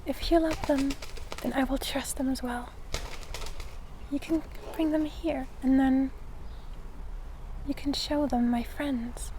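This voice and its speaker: A woman voicing a gentle, curious fae in an ASMR fantasy roleplay, soft-spoken and often slipping into whispers, hesitant and kindly. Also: high-pitched, fairly even intonation, slow, pauses often. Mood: kindly, helpful. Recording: clear background noise of forest ambience.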